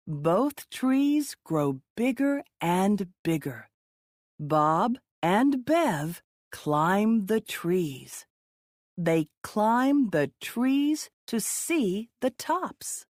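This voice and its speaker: A woman reading children's stories aloud in a deliberate, expressive way for young listeners. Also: medium pitch, no echo, studio quality. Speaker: children's storybook reader. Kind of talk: read-aloud children's story narration.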